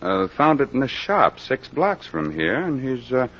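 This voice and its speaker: A skeptical police captain in a vintage radio mystery. His mid-pitched voice swings with lively intonation as he questions and orders people about. The old recording is dull and narrow. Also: measured pace. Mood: wry, musing. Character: brisk, skeptical male police captain running a murder investigation. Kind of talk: old-time radio detective drama acting.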